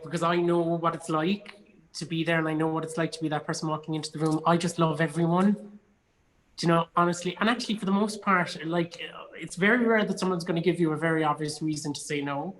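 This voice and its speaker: A man's voice: a little high, a bit bright, low-quality recording. An Irish man in his thirties with a Cork accent talks as an interview guest. He is chatty and informal, leaning on words for emphasis. His storytelling has a self-deprecating streak. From Ireland. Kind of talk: casual interview anecdotes.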